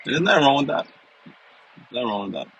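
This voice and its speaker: A man's voice: medium pitch, very animated, a little fast, vocal fry, a bit bright, muffled recording, slight background noise. A man, talking in a loose, casual way and often tagging his sentences with "man" and "you know.". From New York City. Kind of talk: casual personal vlog talk.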